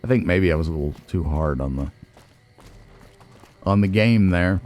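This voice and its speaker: Male let's player, deep mellow register, casual commentary, thinks out loud. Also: animated, moderate pace. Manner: musing, thinking aloud.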